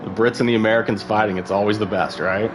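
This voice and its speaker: A man's voice: on the low side, fairly even intonation, quick pace, noisy background. Chatty man commentating a sim race. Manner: casual, chatty, rambling with frequent fillers. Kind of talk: live esports race commentary.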